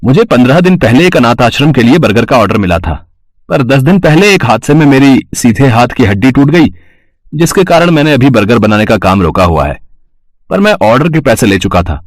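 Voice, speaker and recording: A man's voice: mid-range voice, no echo, lightly acted. A man voicing a polite, helpful burger shop owner in a cartoon story, courteous and explanatory.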